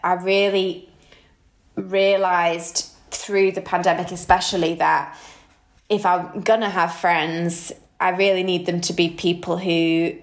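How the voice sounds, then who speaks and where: A woman's voice: lowish voice, little pitch movement, slow pace, roomy sound. A woman co-hosting a podcast in casual chat, speaking in an effusive, emphatic and informal way. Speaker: podcast co-host.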